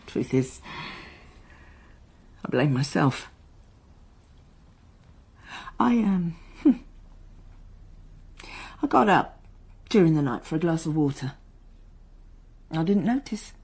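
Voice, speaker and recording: An actress in a radio drama playing a strained, defensive schoolteacher daughter being questioned by police, halting and bristling with emotion. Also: female voice, low-pitched, lively intonation, darkish tone, full character voice. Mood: uneasy, guarded. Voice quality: husky. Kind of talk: naturalistic radio drama dialogue.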